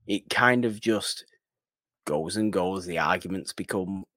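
A man co-hosting a podcast, giving casual film commentary in deliberate, halting, emphatic phrases. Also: deep voice, a bit bright, dry recording, clean studio sound. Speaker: podcast co-host.

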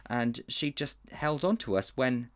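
A man's voice: expressive, a little slow, lightly acted. A man voicing a guilty, frightened young boy who stammers in a raised voice. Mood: anxious. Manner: anxious, stammering, raised pitch.

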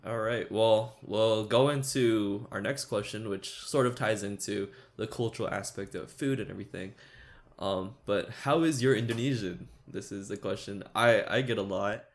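Gravelly low-voiced young man chatting. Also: moderate pace. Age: young adult. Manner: laid-back, rambling with fillers and laughs. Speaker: podcast co-host. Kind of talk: casual chat.

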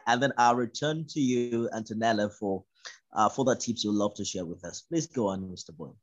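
A man's voice: lowish voice, moderate pace. A man hosting a podcast, friendly and a little hesitant as he hands over to guests, trailing off at the ends of lines. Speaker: podcast host. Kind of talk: hosting wrap-up. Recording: slightly dull lo-fi sound.

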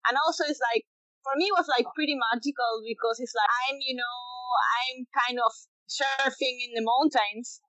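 Chilean woman, late 20s, a little high, muffled dull dry sound, halting casual interview answers. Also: sharp tone, no echo. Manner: halting, many restarts and fillers. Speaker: guest, professional bodyboarder.

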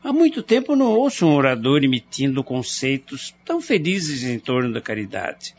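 An actor in a radio drama playing a cautious, reasonable husband who talks in a measured, persuasive way. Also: male voice, medium pitch, very animated, darkish tone, a little hiss or hum. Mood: thoughtful. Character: worried husband, middle-aged, cautious and reasonable. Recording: muffled radio play with music.

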